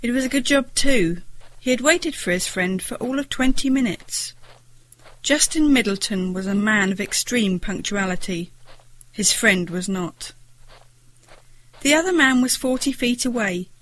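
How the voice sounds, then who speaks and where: A woman's voice: on the high side, fairly bright tone, low-quality recording. A woman narrating scripted short stories for an audiobook.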